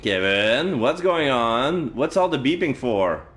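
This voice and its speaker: A man's voice: medium pitch, very animated, slow pace, fairly bright tone, soft background music. A man voicing an alarmed, exasperated young protagonist confronting a killer while reading visual novel dialogue aloud.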